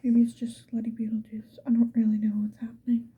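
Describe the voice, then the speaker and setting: Woman, high-pitched, breathy whisper, casual ASMR chat, unhurried, slightly muffled recording. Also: monotone. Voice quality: breathy whisper, mostly unvoiced. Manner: casual, unhurried, self-deprecating murmured asides. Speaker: ASMR video creator. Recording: dull, muffled sound with faint noise.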